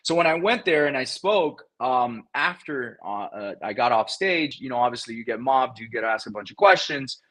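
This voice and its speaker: A man whose first language is Spanish, speaking in a casual interview as a guest, energetic and talkative, often repeating words as he restarts. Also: mid-range voice, normal speed, hardly any pauses. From South Florida. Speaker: guest, real estate investor.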